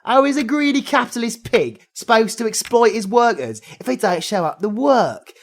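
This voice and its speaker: A man's voice: very high voice, expressive, normal speed, full character voice. A man playing a foul-mouthed, sarcastic, childish British villain cast as a miserly Scrooge in a comedy audio drama. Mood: grumpy. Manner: cheeky, crude, slangy, mocking.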